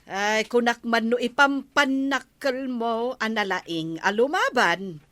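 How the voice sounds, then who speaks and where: Indignant nagging village woman, Ilocano accent, bright forceful voice, broad radio comedy. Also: on the high side, lively intonation, slow pace, dry recording.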